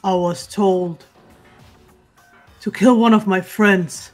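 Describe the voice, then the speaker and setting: A woman voicing a huge, stoic, honorable female martial artist in a visual novel game, speaking in a solemn, measured way. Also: medium pitch, fairly even intonation, a little mellow, soft background music, close to an everyday voice. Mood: remorseful.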